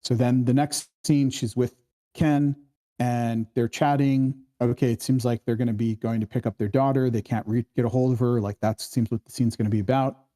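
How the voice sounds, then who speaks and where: Mellow man leading a casual discussion. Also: mid-range voice, fairly even intonation, moderate pace. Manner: acts out quoted dialogue while explaining, often checking with "right?". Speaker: workshop host leading a screenplay discussion.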